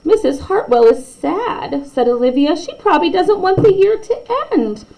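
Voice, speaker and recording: A woman's voice: very high-pitched, lively intonation, normal speed, vocal fry, dark tone, poor audio. A woman reading a children's bedtime story aloud expressively, with chatty asides to young listeners.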